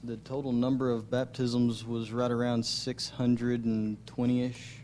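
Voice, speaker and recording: A man's voice: mid-range voice, little pitch movement, measured pace, a little mellow, a little hiss or hum. A man giving an informal missionary testimony in church, speaking hesitantly in short broken phrases.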